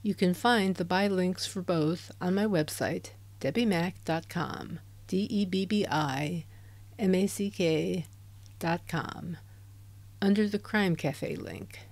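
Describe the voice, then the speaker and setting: A woman hosting a crime fiction podcast, reading announcements in a clear, measured way. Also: lowish voice, a little mellow, dry recording. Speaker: podcast host. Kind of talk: scripted announcement. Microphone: handheld dynamic USB mic.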